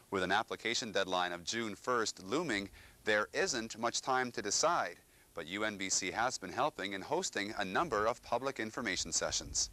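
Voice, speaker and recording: A man narrating a university news program in a measured, scripted way. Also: fairly low pitch, pushed and bright.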